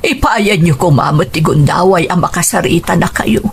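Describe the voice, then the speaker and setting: A woman playing a patient mother of grown children in a radio drama, gently imploring with an Ilocano accent. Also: on the low side, normal speed, gravelly, slight background noise. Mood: earnest pleading.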